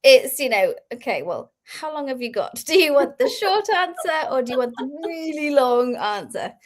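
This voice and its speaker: Guest, woman in her thirties from Belgium, higher-pitched voice, easy chatty delivery with frequent laughter. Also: big pitch swings, normal speed. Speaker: guest crochet designer. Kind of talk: casual interview chat.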